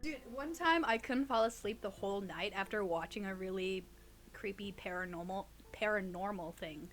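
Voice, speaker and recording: A woman's voice: medium pitch, normal speed, a bit bright, soft background music. A young woman in casual conversation as a podcast co-host, informal and teasing, reading creepy stories aloud. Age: young adult.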